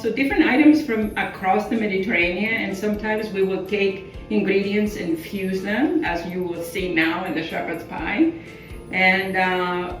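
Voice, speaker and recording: A middle-aged woman from Crete, calmly explaining the steps of a home cooking demonstration in a kitchen. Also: mid-range voice. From Crete, Greece. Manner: explains steps plainly, small slips in grammar. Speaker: chef and company founder. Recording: echoey kitchen, far from mic, faint music.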